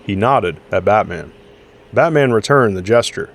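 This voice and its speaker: A man's voice: on the low side, expressive, unhurried. A man reading scripted dramatic story narration as the narrator of an audio drama.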